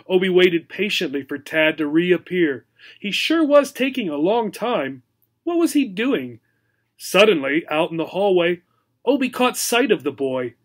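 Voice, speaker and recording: A man teaching as he reads a children's story aloud, telling it expressively and simply for learners. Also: high-pitched.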